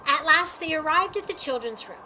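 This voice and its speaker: Animated high-voiced woman reading a storybook. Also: slight background noise, poor audio. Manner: animated, emphatic, drawn-out key words. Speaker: storytime reader. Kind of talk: expressive read-aloud of a children's picture book.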